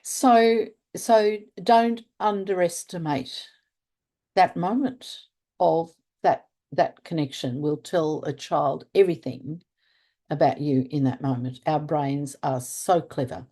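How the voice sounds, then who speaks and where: A woman's voice: low voice, slow pace, dark tone. An older Australian woman talking earnestly in conversation, searching for words and stressing key points. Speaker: restorative practice trainer. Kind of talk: conversational interview.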